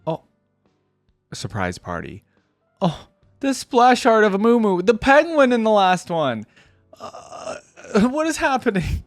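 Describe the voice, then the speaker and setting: Animated man reacting to a game. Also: sits high, a little slow, pauses often, no echo, faint music behind. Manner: rambling, interjecting, thinking aloud. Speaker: reaction video host. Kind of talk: casual reaction commentary on video game skins.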